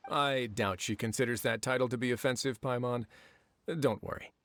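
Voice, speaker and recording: A man playing a relaxed, teasing official in an anime game, dryly playful. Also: mid-range voice, normal speed, close to an everyday voice. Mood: wry.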